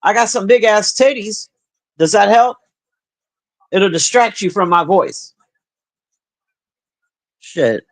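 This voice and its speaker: A middle-aged woman with a deep, husky smoker's voice, chatting profanely and bluntly with her livestream viewers. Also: slow, pauses often. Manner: profane, blunt, animated banter. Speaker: livestream host reading chat. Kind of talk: casual livestream chat.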